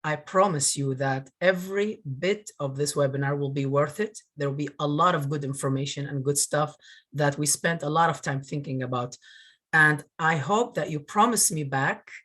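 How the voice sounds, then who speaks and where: A man from northern Iraq giving a webinar over a video call, earnest and grateful, often pausing with fillers. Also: on the high side, bright, forceful tone. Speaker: webinar presenter, career coach. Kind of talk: presentation.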